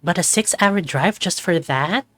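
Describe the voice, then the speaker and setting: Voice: mid-range voice, lively intonation, a little slow, pushed and bright, dry recording, soft background music, voice pushed up. A performer, a man, voicing a bratty, impatient young sister in a furry visual novel, whiny and snappish. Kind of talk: visual novel character reading.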